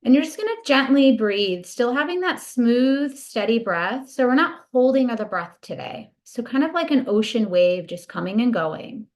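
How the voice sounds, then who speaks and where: A woman leading a guided body scan meditation in a soothing, gentle, unhurried manner. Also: fairly high pitch, expressive.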